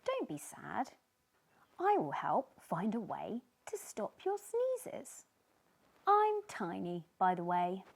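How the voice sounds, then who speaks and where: A woman voicing a tiny, kind storybook fish who is reassuring and encouraging, with expressive delivery. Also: mid-range voice, takes it slowly, gravelly, full character voice.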